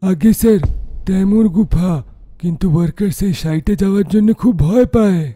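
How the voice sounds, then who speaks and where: A man playing a timid, deferential young engineer in an animated horror story, anxious and respectful. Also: high voice, brisk.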